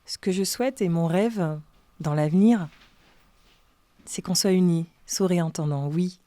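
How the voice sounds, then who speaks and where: Warm, low-voiced woman, dry close sound. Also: unhurried, no room sound. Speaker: sign-language singer interviewed. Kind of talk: documentary interview voice-over.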